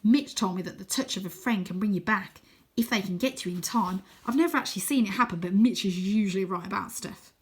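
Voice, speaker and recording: Woman playing an excitable, cheeky boy wizard-ninja. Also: medium pitch, fast talker, breathy edge, close to an everyday voice. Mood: matey, confident. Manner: dramatic, switching between shouts and whispers. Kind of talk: children's storybook character reading.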